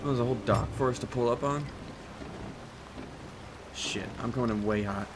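Excitable man in a put-on British accent. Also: medium pitch, normal speed, music in the background. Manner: excitable, chatty, swears while reacting. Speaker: gaming channel host. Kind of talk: casual gameplay commentary.

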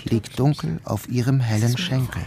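A man's voice: mid-range voice, normal speed, gravelly, noisy background, natural voice. A man acting a troubled, bewildered son who narrates in a literary first-person radio drama. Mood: dreamlike, brooding. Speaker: narrator and protagonist.

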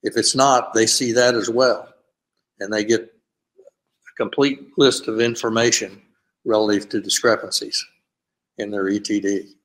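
An older man speaking as a university administrator on a video call panel, explaining his office's review process in a steady way with frequent fillers. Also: lowish voice, a little slow, pauses often, rough recording. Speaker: panelist, graduate school administrator. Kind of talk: conference panel talk over video call.

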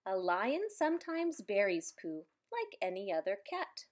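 A woman reading a children's picture book aloud, adding playful, expressive asides for young listeners. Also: mid-range voice, a little slow. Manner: playful, expressive, simple child-directed delivery with exclamations. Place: indoors in a room at home.

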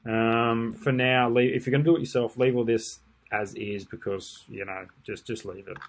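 A man's voice: medium pitch, moderate pace, slight background noise. A man, talking through a screen-share tutorial casually, with lots of ums and restarts. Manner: relaxed, rambling, many ums and restarts. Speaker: marketing tutor. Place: indoors at a desk.

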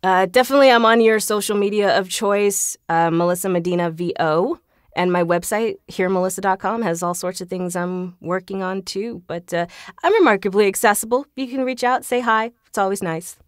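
A woman's voice: medium pitch, fairly even intonation, brisk, smooth, no room sound, clean studio sound. A woman voice actor speaking casually as a podcast guest, in an enthusiastic, effusive, giggly way. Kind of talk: casual interview chat.

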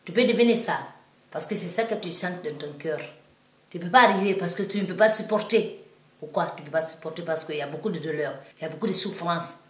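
A woman's voice: lowish voice, fast, roomy sound. A woman from Guinea with a Guinean French accent, talking as a singer in an interview, in simple, broken French full of restarts that grows heated and emphatic. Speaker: singer and musician.

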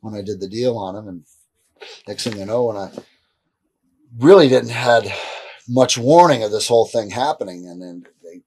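A middle-aged man as an interview guest, telling stories in a rambling, animated way with frequent restarts, seated indoors. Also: on the low side, normal speed, long pauses, a little hiss or hum. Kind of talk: casual storytelling in a shoot interview. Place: indoor room, seated on a leather couch.

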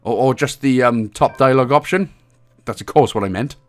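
A man's voice: medium pitch, brisk. A man from Wales, hosting a casual video game walkthrough with jokey, crude humour. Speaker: host of game achievement guides.

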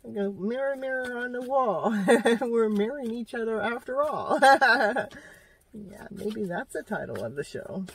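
A woman's voice: fairly high pitch, lively intonation, unhurried, vocal fry, a bit bright, slight background noise. A middle-aged woman giving an informal, rambling tarot reading, giggly and exclamatory, often repeating her phrases. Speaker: tarot reader hosting a show. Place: inside a parked car. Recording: slightly distant in-car microphone.

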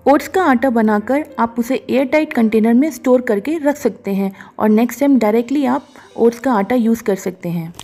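A woman calmly narrating a cooking recipe step by step as a voice-over, slipping English words into her sentences. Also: a little high, normal speed, hardly any pauses. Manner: calm, instructive, mixes English words into Hindi. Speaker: cooking show host. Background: soft background music.

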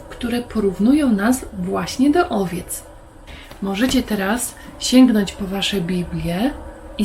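A woman's voice: medium pitch, darkish tone, slight background noise, poor audio. A woman hosting a Bible lesson for young children, explaining things simply and putting questions to the listener.

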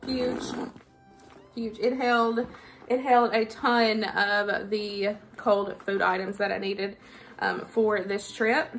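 A woman's voice: medium pitch, fairly even intonation, slow pace, music in the background, poor audio. A woman, chatting casually and informally to camera as a vlogger. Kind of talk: casual chat to camera, product review and haul. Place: living room at home.

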